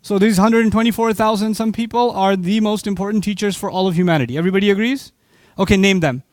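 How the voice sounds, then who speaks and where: Man, lecturer, high voice, dry close sound, animated, rhetorical questions. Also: fast, dry recording. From Pakistan. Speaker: Islamic speaker. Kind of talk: lecture to a live audience.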